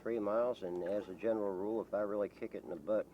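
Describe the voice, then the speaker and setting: A man with a very deep voice talks casually to an interviewer. He rambles in a folksy, halting way, like someone used to telling local stories. The recording carries clear background noise and vehicle rumble. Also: steady tone, muffled recording. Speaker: local resident being interviewed.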